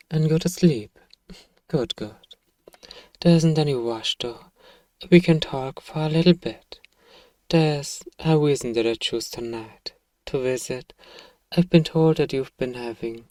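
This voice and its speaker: A man acts out an evil emperor in a bedtime audio role-play. The voice is dark and mellow, recorded close and dry. He speaks softly and formally, a measured, fatherly ruler who is quietly sure of his own righteousness. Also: medium pitch, dry recording, close to an everyday voice. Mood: gently fatherly. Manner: gentle, measured, formal, persuasive. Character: evil emperor father, soft-spoken, paternal, self-righteous.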